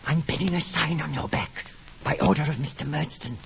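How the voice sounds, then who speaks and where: Creakle, a gravelly whispering headmaster. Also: male voice, fairly high pitch, brisk. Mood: menacing. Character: sinister whispering male headmaster. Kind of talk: 1950s radio drama acting. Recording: dull lo-fi vintage radio recording with faint hiss.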